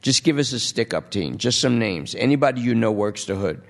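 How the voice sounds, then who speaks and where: A man's voice: mid-range voice, expressive, a little fast, creaky, pitched above the performer's own voice. A man voicing a blunt male police detective who coaxes and bargains in a dry, persuasive way. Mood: brisk.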